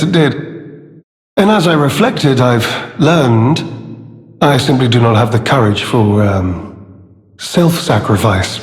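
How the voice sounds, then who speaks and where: A man playing a scheming, cowardly and self-serving informant in a video game, speaking in halting, theatrical phrases with mock politeness. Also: fairly high pitch, a little mellow. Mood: wistful, self-doubting. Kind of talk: video game character dialogue. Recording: echoey hall with long reverb.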